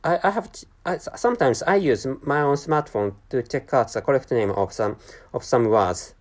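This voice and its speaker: Halting non-native English-speaking man. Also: mid-range voice, expressive. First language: not English. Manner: halting, many restarts and self-corrections; apologetic about his English. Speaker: commentator. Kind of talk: unscripted solo monologue.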